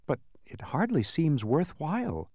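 Young boy voiced by a male narrator: mild, earnest, well-mannered, lifted pitch, storybook style, dry recording. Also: huge pitch swings, normal speed, a little mellow, no echo. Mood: hesitant. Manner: polite, earnest.

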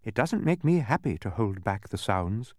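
A man acts out a proud, stubborn storybook lady in a high, swooping voice. She is imperious and impatient, instructing as if she owns the place. The sound is dry and close. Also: very animated, moderate pace, a little mellow, no room sound. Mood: wistful. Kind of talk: storybook character voice.

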